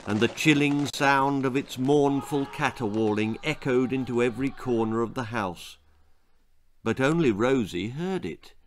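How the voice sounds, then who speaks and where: Man, children's horror storyteller, theatrical, suspenseful, unhurried drawn-out phrasing, medium pitch. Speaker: author reading own story aloud. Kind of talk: dramatic scripted storytelling for children, comic horror. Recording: music behind the voice. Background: music.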